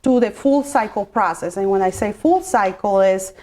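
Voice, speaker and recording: A woman's voice: mid-range voice, moderate pace, darkish tone. Woman, 40s, mid-range voice, interview guest who explains at length. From Colombia. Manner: explanatory, with many fillers and restarts. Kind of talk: interview answers.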